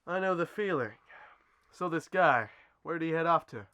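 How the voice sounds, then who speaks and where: Actor as bright-voiced, menacing gang leader man. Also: a little high, expressive, moderate pace, clean tone. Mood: casually probing. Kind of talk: amateur western film acting.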